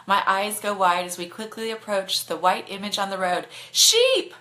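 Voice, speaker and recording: A woman narrates a bedtime story for listeners online. Her voice is bright and clear in its projection, at a middle pitch, and her reading is expressive. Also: normal speed. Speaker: author reading to online viewers.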